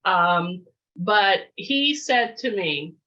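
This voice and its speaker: A woman with a mid-pitched voice shares her memories as a guest on a virtual interview. She speaks casually and reflectively, often pausing to find the next phrase. The sound is muffled, like a basic video call. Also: lively intonation, sharp tone. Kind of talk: casual storytelling, reminiscing.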